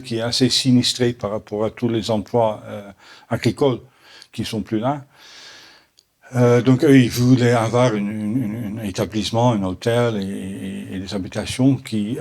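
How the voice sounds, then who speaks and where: A middle-aged man from the Netherlands with a Dutch accent, answering audience questions at a conference in halting French full of restarts. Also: fairly low pitch, darkish tone. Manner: halting, many restarts and repeated words. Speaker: botanical garden owner answering questions. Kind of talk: Q&A after a conference talk.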